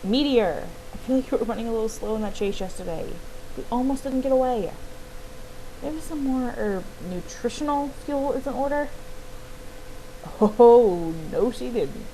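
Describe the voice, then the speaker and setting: Blunt, bossy young rider in a video game, read by a woman, animated and exclamatory, clearly noisy audio. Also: fairly high pitch, moderate pace, voice pushed up. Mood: teasing, lively. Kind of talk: reading game dialogue aloud. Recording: clearly noisy home recording.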